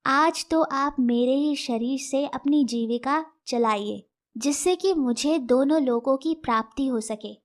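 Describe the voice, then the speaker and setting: A woman's voice: high voice, takes it slowly. A woman voicing a deferential male animal servant of a lion king, respectful and pleading, in an animal fable. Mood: humble. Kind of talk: animal fable character acting.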